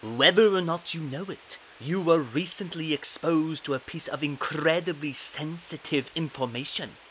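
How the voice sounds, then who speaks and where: Mysterious cloaked informant male actor, audio drama. Grave, formal, hushed and urgent, with faint background noise. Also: on the high side, moderate pace, lo-fi sound, close to an everyday voice. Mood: urgent, secretive. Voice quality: hushed, refined. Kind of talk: amateur audio drama acting.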